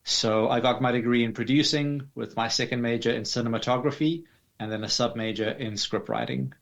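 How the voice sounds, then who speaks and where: A man with a South African accent answering interview questions in a measured, explanatory way with formal wording. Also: medium pitch, steady tone, creaky, fairly bright tone. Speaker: interview guest, digital artist.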